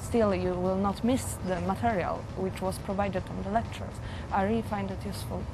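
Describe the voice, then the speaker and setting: A woman, a psychology student, giving earnest, measured interview answers. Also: mid-range voice, steady tone, creaky. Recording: audible background noise.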